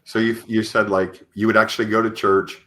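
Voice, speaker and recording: A man with a low, deep voice hosts a podcast interview. He talks conversationally, circling tentatively around his questions and restating them as he goes. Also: flat delivery, brisk, fairly bright tone. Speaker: podcast host.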